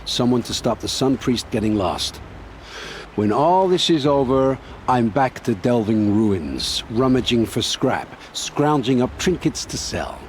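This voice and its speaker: An actor playing a guarded, weary scout who talks in a gruff, dismissive way. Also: male voice, mid-range voice, expressive, unhurried, lightly acted. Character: guarded, evasive male scout, weary and curt. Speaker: outlander scout. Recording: game audio with ambient noise.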